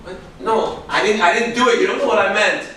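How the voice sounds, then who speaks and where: A man's voice: sits high, fairly quick, pushed and bright. An actor playing a volatile, possessive man in a staged play, accusing one moment and begging the next, recorded from a distance in an echoey room. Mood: defensive. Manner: accusing, pleading, swinging from bullying to begging. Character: volatile, possessive alcoholic man, a desperate failing writer. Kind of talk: staged play reading, dramatic dialogue. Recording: echoey room, distant microphone, faint noise.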